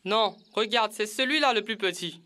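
A man's voice: high voice, moderate pace, sharp tone. A man voicing a sensible, assured child who explains things in an educational cartoon.

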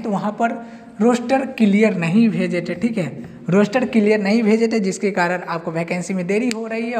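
A man gives an informal, emphatic update to camera as a channel host, often repeating himself. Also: high-pitched. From India. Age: 20s. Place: indoors in front of a whiteboard. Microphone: clip-on lapel mic. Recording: long hall-like reverb.

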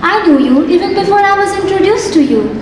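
A woman's voice: very high-pitched, expressive, a little fast, noisy background. Young woman playing a gracious young singer. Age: young adult. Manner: polite, formal, wondering. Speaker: student actress. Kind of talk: stage acting in a school play. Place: large theatre stage. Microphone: far stage mic. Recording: echoey hall from far off.

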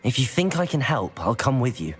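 An actor playing an earnest, polite teenage wizard student in a video game. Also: male voice, mid-range voice, very animated, fairly quick, lightly acted. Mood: earnest, calm. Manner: polite, plain-spoken, earnest. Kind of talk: video game character acting. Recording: music behind.

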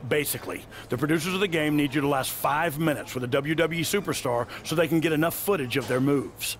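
An actor playing a laid-back male wrestling veteran in a video game cutscene, speaking in a casual, low-key, persuasive way. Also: quick pace, slight background noise, lightly acted.

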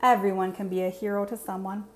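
A woman reading a picture book aloud to children in an expressive teacher's style. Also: medium pitch, fast. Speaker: read-aloud presenter, teacher. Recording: clear background room noise.

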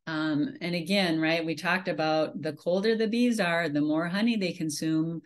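A woman from Minnesota walks through her slides on a video call, her voice a touch low for a woman. She talks in a relaxed, conversational way, full of "um"s and restarted phrases. The sound is plain webcam audio from a small room. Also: normal speed. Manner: conversational, many fillers and restarts. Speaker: beekeeping presenter.

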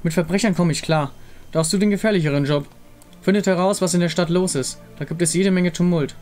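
A man's voice: high-pitched, quick pace, darkish tone, soft background music, natural voice. A man voicing a decisive female warrior and loving wife, firm and reassuring.

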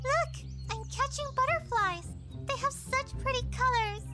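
A woman's voice: extremely high pitch, a little slow, over loud music. A woman playing an innocent, playful little sister, childlike and eager, in a fantasy audio drama. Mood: excited, innocent.

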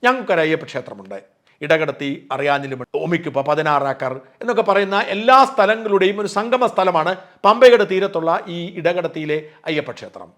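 A middle-aged man from Kerala talks quickly and pointedly as the host of a news channel. His voice sits a little high for a man, and he argues his case with emphasis. He backs his points with his own experience. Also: lively intonation, fairly bright tone. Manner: emphatic, argumentative, drawing on personal experience. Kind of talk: opinion commentary.

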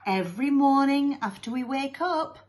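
Woman doing a high, soppy, whiny little schoolboy. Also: lively intonation, moderate pace. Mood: smug, gushing. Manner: whiny, sugary baby talk. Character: soppy, smug little schoolboy, adoring of his parents.

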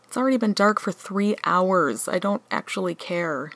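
A woman's voice: mid-range voice, animated, normal speed, fairly bright tone. A woman playing a weary, sarcastic, easily distracted angel in a comic radio play, offhand and exasperated.

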